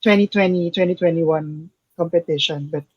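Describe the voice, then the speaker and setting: A woman hosting an interview, asking questions in a halting way with frequent restarts. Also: fairly low pitch, husky, no echo, dull audio. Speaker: interview host.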